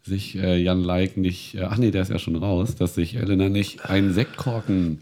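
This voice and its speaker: A man's voice: deep voice, fairly even intonation, normal speed, mellow. A man co-hosting a casual chat show, rambling with many fillers and restarts as he talks about reality TV. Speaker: podcast co-host.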